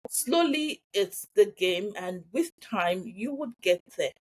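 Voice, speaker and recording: Guest: Nigerian-accented woman in her thirties, chatty, mid-pitched, repeats herself and checks in. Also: animated, takes it slowly, husky, low-quality recording. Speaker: interview guest. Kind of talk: casual conversation.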